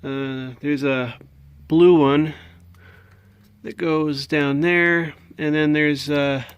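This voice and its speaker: A man's voice: a little high, fairly even intonation, extremely slow, smooth, no room sound. An American man giving a casual show-and-tell, thinking aloud in a hesitant, puzzled way. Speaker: hobbyist video maker. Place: indoors at a home workbench.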